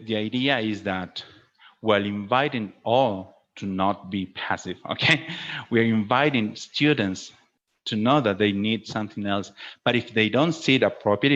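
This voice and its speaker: A man's voice: lowish voice, a bit bright. A man working as a teacher trainer answers questions in an online webinar, explaining and often checking with "okay?". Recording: dull, lo-fi online call audio.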